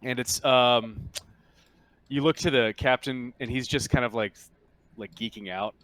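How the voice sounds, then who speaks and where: A man improvising narration as the game master of a tabletop roleplaying game, haltingly searching for words. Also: mid-range voice, fairly bright tone, dry recording.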